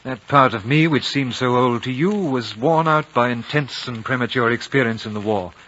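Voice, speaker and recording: An actor playing a courteous, world-weary war veteran in a 1950s radio drama, polite, earnest and reflective. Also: male voice, mid-range voice, moderate pace, a bit bright, close to an everyday voice. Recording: dull, noisy old radio recording.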